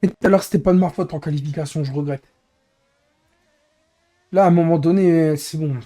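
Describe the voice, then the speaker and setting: A hoarse man who is losing his voice, grumbling in exasperation as he comments live on his racing game stream. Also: fairly high pitch, fairly quick, warm tone. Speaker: streamer and racing league head.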